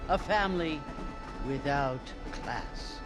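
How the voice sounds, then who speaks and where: A woman's voice: low voice, slow, music in the background. An actress playing a snobbish, judgmental society lady in a video game scene. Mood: scornful. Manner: haughty, judgmental. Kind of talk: video game cutscene acting.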